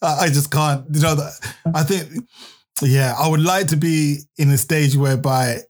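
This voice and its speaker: A man's voice: on the high side, fairly even intonation, normal speed. A man hosting a podcast interview, chatty and laughing often, restarting his sentences. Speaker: podcast host.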